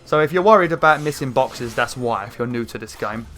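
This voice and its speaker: A young man with a croaky throat, rambling through casual gameplay commentary with lots of fillers. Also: mid-range voice, fairly quick. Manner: rambling, chatty, many fillers, excited outbursts. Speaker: gaming channel host. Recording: faint game music behind. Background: game music.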